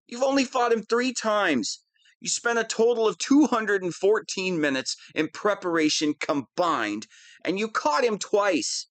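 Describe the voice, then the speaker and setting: A man's voice: high-pitched, a bit bright, no echo. A man playing a whiny, pompous wannabe supervillain who is easily flustered, in a scripted comedic audio roleplay. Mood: petulant, whiny.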